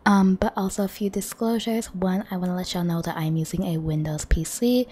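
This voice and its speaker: A woman who is losing her voice talks viewers through a tutorial in a friendly, chatty way. Her tone is mellow and dark, with a husky, breathy edge. She records close on a desktop microphone at home. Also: lowish voice, fairly quick. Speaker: gaming channel host. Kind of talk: casual screen-recording tutorial. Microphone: desktop condenser microphone.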